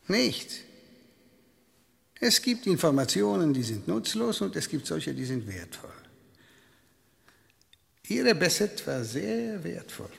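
An actor playing a cynical, disdainful Soviet KGB chief in a radio drama, speaking emphatically. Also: male voice, mid-range voice, vocal fry, heavily acted. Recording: reverberant hall acoustic.

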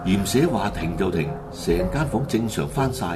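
A man's voice: on the low side, lively intonation, moderate pace. A man with a Hong Kong Cantonese accent narrating a radio drama in a dramatic, colloquial storytelling style. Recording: loud background music under the voice.

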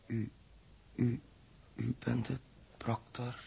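Weak, croaking injured man. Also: mid-range voice, completely flat delivery, mellow. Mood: faint, wondering. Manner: halting, repeats words. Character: gravely injured young man, weak, resigned, gentle. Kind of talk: 1960s Dutch radio drama acting. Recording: faint noise, old radio recording.

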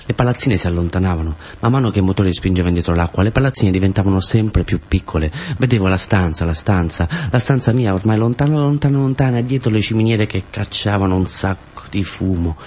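A man's voice: low-pitched, quick pace, hardly any pauses, darkish tone. A man with a southern Italian accent telling a first-person theatre story in short phrases with incantatory repetition. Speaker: actor-storyteller.

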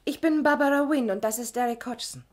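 An actress playing a brisk, direct office clerk with a teasing streak in a 1960s German radio crime drama. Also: female voice, on the high side, crowd noise, natural voice. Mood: businesslike, concerned. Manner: lively, direct.

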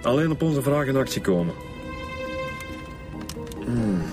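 Wry, easygoing Flemish police inspector played by an actor. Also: male voice, medium pitch, expressive, measured pace, close to an everyday voice. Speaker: actor in a radio serial. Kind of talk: naturalistic radio drama dialogue. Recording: music behind the dialogue.

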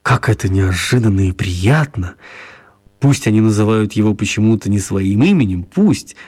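A man narrating a children's story for radio in an expressive storytelling style. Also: on the low side, normal speed, slightly rough.

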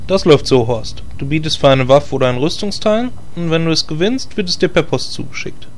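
An actor playing a droid companion who patiently explains things and gets mildly exasperated, in a comedy radio play. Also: male voice, a little high, fairly quick, slight background noise, natural voice.

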